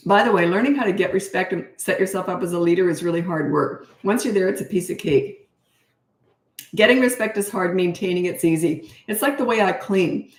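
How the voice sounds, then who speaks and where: A middle-aged woman coaching parents on a casual livestream, giving blunt, practical advice with chatty asides while her voice grows slightly hoarse. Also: lowish voice, brisk, echoey room.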